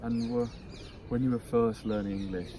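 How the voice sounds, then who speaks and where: A man's voice: medium pitch, fairly even intonation, moderate pace. A man interviewing a guest in a casual way, restarting his questions as he goes. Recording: noisy outdoor recording with birdsong, off-mic.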